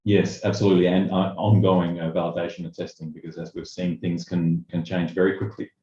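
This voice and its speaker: A man facilitating a webinar question session over a video call, putting questions in a measured, hesitant way. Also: sits low, flat delivery, warm tone, slight background noise. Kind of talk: webinar moderation, asking questions. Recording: roomy, dull video call audio.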